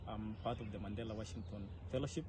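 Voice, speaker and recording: A man's voice: on the low side, steady tone, fast talker. Kenyan man, noisy ambient recording. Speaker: interviewee, leadership fellow. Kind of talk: informal interview answers. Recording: clear ambient background noise.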